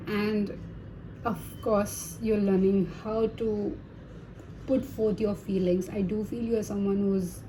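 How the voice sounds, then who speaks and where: A woman's voice: mid-range voice, level tone, slow pace, warm tone, a little hiss or hum, poor audio. Chatty woman giving a tarot reading. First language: Hindi. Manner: chatty, reassuring, many fillers and tag questions. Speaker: tarot reader. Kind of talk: casual tarot reading to viewers.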